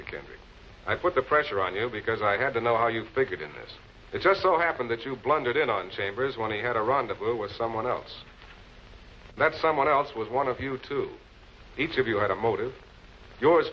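An actor playing a composed, authoritative prosecutor in a 1940s television crime drama, speaking in a measured, deliberate, commanding way. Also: male voice, medium pitch, close to an everyday voice. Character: composed, authoritative male public prosecutor cornering murder suspects. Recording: muffled, noisy old film soundtrack.

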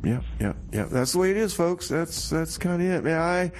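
A man playing a glum, self-pitying, hapless radio DJ who talks in a halting, dejected, repetitive way on a comedy music show. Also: a little high, expressive, a little hiss or hum, natural voice. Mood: glum, rambling. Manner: halting, dejected, sighing, repeating himself. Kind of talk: comedy radio theater DJ patter.

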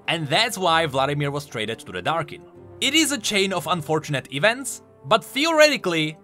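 A man's voice: a little high, bright, forceful tone. A man giving enthusiastic, emphatic explanatory commentary on video game lore. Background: faint music.

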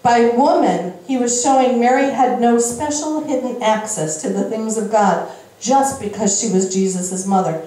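High-voiced woman preaching, very echoey room. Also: normal speed, warm tone. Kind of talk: sermon, Bible reading with interjected explanation. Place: indoor church stage. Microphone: handheld microphone at a lectern. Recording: very echoey room, slightly distant sound.

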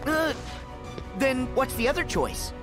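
Teenage boy hero, boyish, expressive pitch swings, unhurried, eager, sincere, animated fantasy game. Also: over music, heavily acted.

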